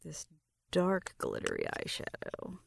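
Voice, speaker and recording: A woman performing an ASMR roleplay in a gentle, breathy whisper, murmuring through each step close to the microphone. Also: on the low side, wildly swooping pitch, a little slow, a little hiss or hum. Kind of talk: ASMR roleplay, soft personal attention. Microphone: close microphone, dry sound.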